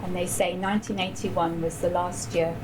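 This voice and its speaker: A woman reads literary prose aloud in a measured way. Her voice sits slightly low, and the live bar recording carries clear background noise. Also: level tone. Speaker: writer reading own story.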